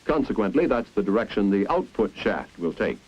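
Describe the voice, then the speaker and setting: A man's voice: lowish voice, sing-song, brisk, breathy edge. An American man narrating a 1950s instructional film in a didactic, explanatory way. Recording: dull, muffled 1950s film soundtrack.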